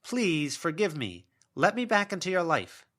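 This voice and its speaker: A man's voice: a little high, sing-song, moderate pace, a bit bright, pitched above the performer's own voice. A man voicing the ghost of an older woman, pleading, aggrieved and full of excuses, in an audiobook. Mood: pleading, defensive.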